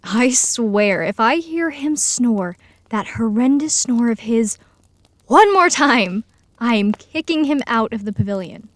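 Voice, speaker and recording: A woman's voice: on the high side, lively intonation, a little slow, no room sound. A woman voicing an exasperated, ranting young cartoon character.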